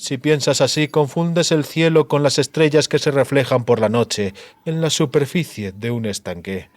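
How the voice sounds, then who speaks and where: A man performs a cruel, disfigured sorcerer from a fantasy audiobook. He speaks in measured, theatrical monologue, gloating coldly. The mid-pitched voice is full of menace and sits in a dry, close sound. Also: no room sound, natural voice. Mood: gloating, reproachful.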